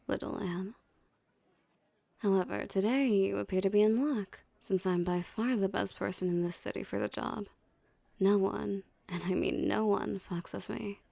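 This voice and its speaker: A woman's voice: medium pitch, darkish tone, no room sound. A woman playing a dominant, teasing mafia boss in an ASMR roleplay, speaking with cool, condescending flirtation. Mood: seductive, taunting, then confident, menacing. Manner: smooth menacing flirtation, condescending, unhurried. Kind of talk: ASMR roleplay, scripted character acting.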